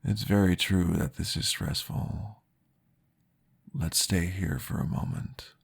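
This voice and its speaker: A courteous vampire therapist played by a man speaks in a very low, husky voice with a gravelly edge. He guides slowly and soothingly, with formal, gentle phrasing, in the manner of an ASMR roleplay. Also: fairly even intonation, exaggerated voice. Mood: soothing, reassuring. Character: calm, courteous male vampire therapist guiding a patient.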